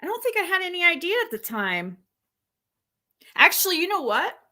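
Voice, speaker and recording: A woman's voice: very high voice, very animated, moderate pace, pushed and bright. A young woman hosting a livestream, chatting in a rambling, self-correcting way as she reacts to an old clip. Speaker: livestream host. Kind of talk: casual livestream commentary.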